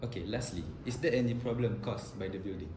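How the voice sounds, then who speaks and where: Quick-talking male interviewer, echoey and noisy. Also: fairly low pitch. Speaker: interviewer posing as a TV reporter. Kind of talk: rehearsed interview questioning. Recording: echoey, noisy ambient recording.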